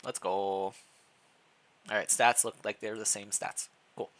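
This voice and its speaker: A man's voice: on the low side, level tone, measured pace, bright, forceful tone. A man narrating fan fiction expressively as a streamer, mixed with casual chat. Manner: stumbles over words while reading aloud, self-deprecating.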